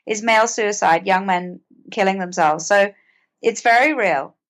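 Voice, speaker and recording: A woman's voice: medium pitch, unhurried, a bit bright. A woman with a British accent speaking as an interview guest, earnest and conversational, often repeating words as she goes. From United Kingdom. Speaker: podcast guest, author and mental health advocate.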